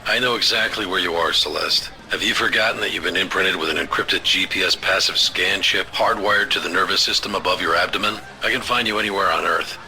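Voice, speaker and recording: A cold, sharp spy chief. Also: male voice, low-pitched, fairly quick, hardly any pauses, heavily acted, rough recording. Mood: commanding. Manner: clipped, authoritative, unyielding. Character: cold commanding male spy chief on the phone.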